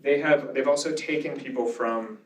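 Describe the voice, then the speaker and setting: A man's voice: mid-range voice, steady tone, moderate pace. A man speaking informally as a lecturer answering questions after a talk, in a casual, conversational way. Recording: roomy lecture sound, distant mic, faint noise.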